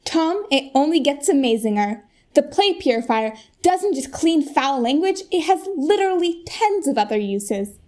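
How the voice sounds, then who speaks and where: Polished young American saleswoman, acted by a woman: high voice, emphatic presentational delivery, radio comedy. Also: very animated, moderate pace, darkish tone. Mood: earnest, persuasive. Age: young adult. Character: earnest, polished corporate saleswoman Shelley pitching a product. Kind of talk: comic radio play, mock keynote presentation.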